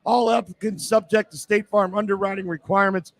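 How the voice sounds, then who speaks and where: A man's voice: high-pitched, fairly even intonation, brisk, fairly bright tone. A man hosting live sports radio, bantering and reading sponsor spots in a sarcastic, grumbling, needling way. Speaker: sports radio show host. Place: live remote broadcast at a casino.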